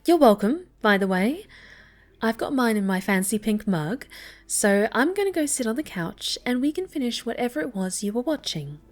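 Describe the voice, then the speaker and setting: A woman playing a snobby but soft, easily flustered cat girl in an intimate ASMR roleplay, speaking coyly and haltingly, often breathy. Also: on the high side, big pitch swings. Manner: halting, stammering, coy, haughty.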